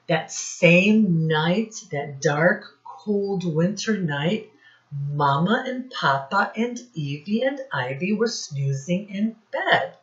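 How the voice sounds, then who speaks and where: A woman teacher reading a storybook aloud to young children in a deliberate, instructive way, pausing to ask them questions. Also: deep voice, lo-fi sound.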